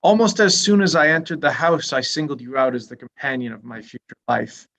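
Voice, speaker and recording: A man performs a pompous young clergyman in a period drama reading. His medium-pitched voice delivers formal, long-winded sentences with obsequious self-importance. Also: brisk, a bit bright, natural voice. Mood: pompous, earnest.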